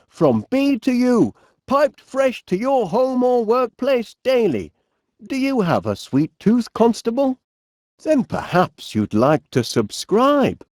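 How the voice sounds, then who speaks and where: Bumbling Northern English inventor, mellow, slow-paced, fussily polite, hesitant, keen, cartoon video game character. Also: male voice, very high voice, no echo, clean studio sound, full character voice. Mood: cheerful sales pitch. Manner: hesitant, fussily polite, with quaint turns of phrase.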